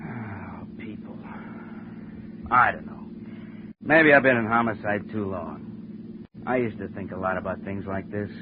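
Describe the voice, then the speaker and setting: A man playing a jaded, hardened homicide lieutenant in a 1950s radio drama, weary and gruff in manner. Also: fairly low pitch, measured pace, pauses often, natural voice. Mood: weary, reflective. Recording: noisy, tinny old radio recording.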